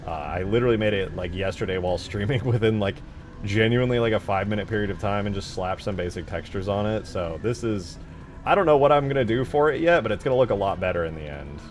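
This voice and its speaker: A man's voice: fairly low pitch, animated, quick pace, a little hiss or hum. A man streaming his game modding work, talking informally and thinking aloud. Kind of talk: casual livestream chat.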